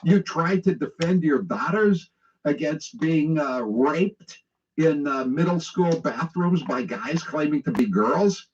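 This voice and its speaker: A man's voice: on the high side, animated, takes it slowly, recorded from a distance, a little hiss or hum. An American man delivering an opinionated, sarcastic radio monologue in a mocking, conversational way. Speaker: political talk radio host. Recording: dull lo-fi radio relay.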